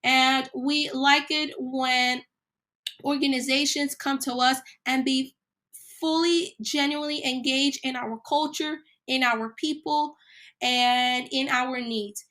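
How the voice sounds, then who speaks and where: A woman hosting a podcast, going through her points one by one in an earnest way, slightly raspy from a cold. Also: high voice, fairly even intonation, slow pace, bright, forceful tone. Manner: earnest, list-like delivery of points. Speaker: podcast host. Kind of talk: solo podcast talk, partly read.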